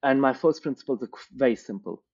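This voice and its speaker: Mellow, measured man from India. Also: medium pitch, slightly rough, highs cut off. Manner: measured, thoughtful, explains with examples. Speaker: career coach, guest. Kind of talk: reflective interview answers.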